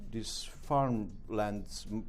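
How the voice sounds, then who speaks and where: A middle-aged man with a Romanian accent, speaking broken English as an interview guest, halting with many fillers. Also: on the low side, faint music behind. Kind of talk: interview answers.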